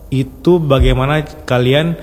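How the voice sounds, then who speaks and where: A man's voice: medium pitch, steady tone, measured pace. A man speaking as a business-owner guest in a casual radio interview, conversational and illustrating with acted-out examples. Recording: reverberant hall-like room.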